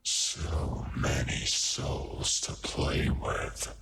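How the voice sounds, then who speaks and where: A man voicing a sadistic demonic hedgehog monster in a horror game, taunting slowly in a breathy, whispered growl. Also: rumbling low, fairly even intonation, fairly bright tone, a little hiss or hum, exaggerated voice. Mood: menacing, gloating. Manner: slow, menacing. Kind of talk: horror game villain lines.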